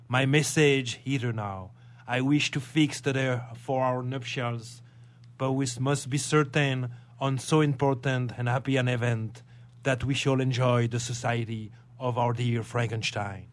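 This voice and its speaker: A man playing an earnest, concerned gentleman in a radio drama, with formal, measured theatrical delivery. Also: mid-range voice, close to an everyday voice. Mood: courteous, hopeful.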